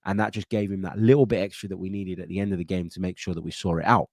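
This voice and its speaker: A low-voiced man talks through a football preview in an easy, chatty way, stopping and restarting as he thinks. His nose is stuffed up. The sound is close and dry, with no room around it. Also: steady tone, very fast, dry recording. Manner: thinking aloud, many fillers and restarts. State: congested, blocked nose. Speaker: football channel host. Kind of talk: casual match preview chat.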